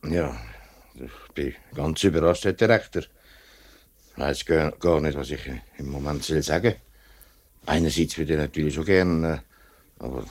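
An actor playing an upright working-class family man in a 1950s radio play, speaking with a Swiss German accent in a hesitant, deliberate way. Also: a bass voice. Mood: hesitant, respectful. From Switzerland. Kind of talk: mid-century radio play acting. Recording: vintage 1950s radio drama recording.

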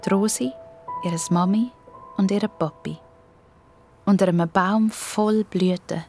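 A woman's voice: medium pitch, fairly even intonation, measured pace, darkish tone, soft background music. A woman with a Swiss accent narrating a scripted children's story.

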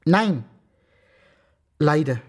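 Slow, stern martial arts master. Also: male voice, a little high, animated, heavily acted. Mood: wistful. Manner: clipped, refers to himself in third person. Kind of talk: radio crime drama acting.

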